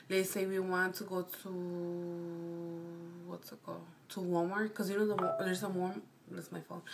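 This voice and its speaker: A woman's voice: on the low side, a little hiss or hum. A woman in her twenties from Chicago with a Mexican American accent, chatting casually to camera in a rambling, meandering way and often correcting herself. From Chicago, United States. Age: 20s. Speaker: vlogger.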